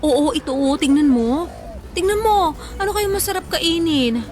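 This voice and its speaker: High-voiced, whiny young Filipina. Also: female voice, expressive, normal speed. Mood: whiny, hungry. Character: sensitive, food-loving plump young woman, playful and stubborn. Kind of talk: Filipino radio drama acting. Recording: music bed under the dialogue.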